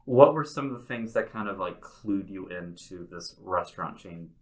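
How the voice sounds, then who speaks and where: A man's voice: low voice, animated, moderate pace. A man hosting a casual interview, introducing and questioning his guest conversationally.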